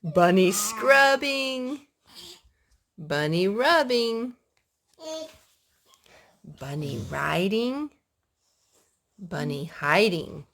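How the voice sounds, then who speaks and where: A woman's voice: medium pitch, expressive, drawn-out words, pauses often, fairly bright tone. A woman hosting a children's storytime, reading picture books aloud and asking little prompting questions in a child-directed way.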